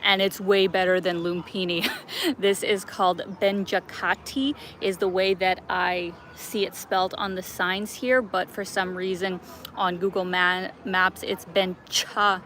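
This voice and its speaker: A woman's voice: on the low side, steady tone, unhurried, fairly bright tone, a little hiss or hum. A young woman hosting a travel live stream outdoors in a city park, chatty and laughing often as she greets viewers by name.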